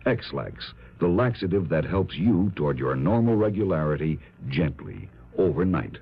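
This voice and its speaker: Very deep, husky radio ad announcer. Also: male voice, expressive, normal speed. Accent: American. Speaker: commercial announcer. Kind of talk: 1950s radio ad read. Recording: muffled vintage radio recording.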